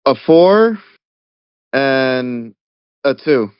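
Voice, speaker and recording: Lively-pitched man, dry dull audio. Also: extremely slow, clear, resonant tone, dry recording. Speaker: tabletop game player. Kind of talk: casual game chat.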